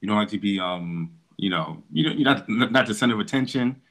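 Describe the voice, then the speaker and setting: A man hosts a relaxed podcast interview in a low voice. He is chatty and quick to tease and joke with his guest. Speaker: podcast host.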